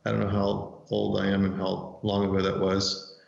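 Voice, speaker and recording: A man's voice: deep voice, completely flat delivery, normal speed, gravelly. A middle-aged man speaking informally as an expert panelist, heard over a roomy, dull remote call. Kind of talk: informal self-introduction on a panel.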